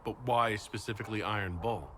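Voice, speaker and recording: An actor playing a composed, dryly witty elf leader in a video game, with a measured, questioning delivery. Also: male voice, fairly low pitch, noisy background, lightly acted. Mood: dry, curious.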